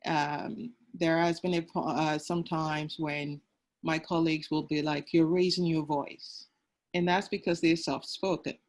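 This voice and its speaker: A woman with a naturally loud voice speaks frankly as a panelist. Her sentences are often broken up by fillers. She is heard over a video call. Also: sits low, steady tone, unhurried. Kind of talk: panel discussion.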